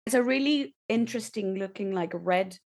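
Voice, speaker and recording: A woman's voice: mid-range voice, moderate pace, gravelly. Woman narrating her roleplay character. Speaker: tabletop roleplay player. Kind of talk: casual descriptive roleplay narration.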